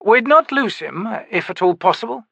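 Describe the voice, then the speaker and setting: A cold, courtly bearded sorcerer with an odd foreign accent, as a male narrator puts him on talks in a high, polished, smoothly polite manner. Each phrase is precise and detached, recorded dry and close. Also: animated, moderate pace, sharp tone, no room sound, heavily acted. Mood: cool polite. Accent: strange foreign accent.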